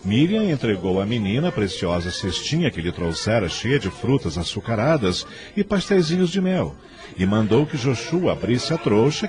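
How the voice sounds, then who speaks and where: A man narrating a scripted radio drama in a dramatic storytelling style. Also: fairly low pitch. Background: faint background music.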